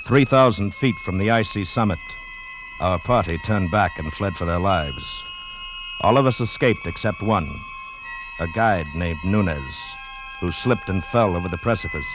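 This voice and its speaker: Actor as grave, low-voiced haunted mountaineer. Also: male voice, moderate pace, lightly acted, rough recording. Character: haunted male mining engineer and former mountain climber. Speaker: narrator and character in radio drama. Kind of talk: 1940s radio acting, dramatic first-person narration. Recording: vintage radio recording with music.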